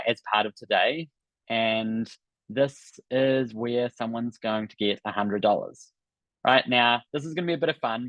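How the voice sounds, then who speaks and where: A man's voice: mid-range voice, a bit bright, no room sound, highs cut off. A man with a New Zealand accent, hosting a live online coaching summit in an upbeat, encouraging, chatty way. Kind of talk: live webinar talk to an audience. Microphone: video call.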